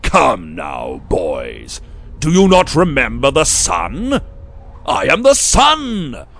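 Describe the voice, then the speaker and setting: A towering sun elder, half plant and half man, booming out grand promises in a raised, croaking voice, as a man puts him on. His pitch swoops widely from line to line, and he stretches each word out slowly. He sounds like a coaxing, self-important figure from a storybook. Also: sing-song. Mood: grandiose. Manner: booming, theatrical proclamations. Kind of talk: storybook reading.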